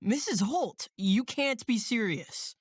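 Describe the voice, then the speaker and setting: A woman playing an easygoing, friendly young male camp counselor in a horror audio drama, with a gentle, peacemaking manner. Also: a little high, expressive, slow, husky, no echo, studio quality, exaggerated voice. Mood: concerned.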